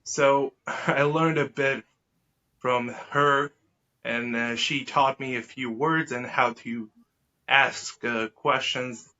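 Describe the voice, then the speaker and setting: A man's voice: medium pitch, steady tone, takes it slowly, bright, forceful tone. A man from Israel whose native language is Hebrew, answering as a podcast guest in a wordy, formal, self-correcting way. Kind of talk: conversational interview answers. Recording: dull lo-fi sound.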